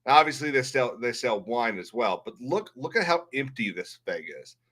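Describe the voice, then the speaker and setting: Bright, forceful man chatting on a livestream. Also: medium pitch, big pitch swings, moderate pace. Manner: rambling, blunt, swears casually. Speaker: livestream host. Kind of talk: casual livestream chat and commentary.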